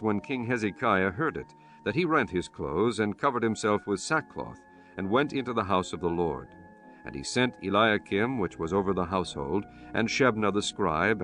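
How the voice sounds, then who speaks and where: Male scripture audiobook narrator, lower register, formal delivery over light music. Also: a bit bright. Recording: music under the voice.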